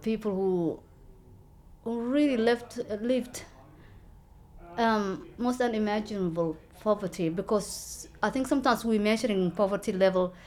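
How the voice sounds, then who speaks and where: A woman, telling her story in an interview with an earnest, halting manner and many fillers. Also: mid-range voice, a little mellow. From Somalia. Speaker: local election candidate.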